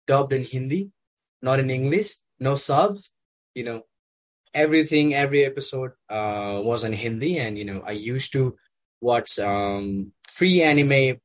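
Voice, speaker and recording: Relaxed man from Nepal, "you know" after every phrase. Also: mid-range voice. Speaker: interview guest. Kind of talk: casual conversation. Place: conference room with poor acoustics.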